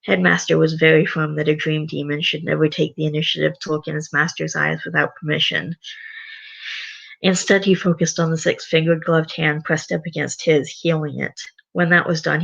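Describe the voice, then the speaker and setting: A livestreamer reading a story aloud and chatting to viewers, voice going raspy. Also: medium pitch, flat delivery, moderate pace, a bit bright, lo-fi sound. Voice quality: voice getting raspy. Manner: rambling, self-correcting. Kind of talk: reading a story aloud, then casual chat.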